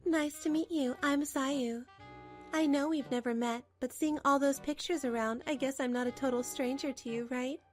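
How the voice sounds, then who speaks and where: A woman's voice: sits high, steady tone, moderate pace, faint music behind, lightly acted. A woman voicing a smug young mistress in a manga dub, taunting in a mock-sweet way. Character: smug, sugary young mistress, the husband's old flame. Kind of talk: dramatic manga dub dialogue.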